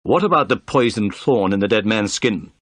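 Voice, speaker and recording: A man voicing a calm, polite private detective in an audiobook, with an assured, authoritative manner. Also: fairly low pitch, moderate pace, no echo, studio quality.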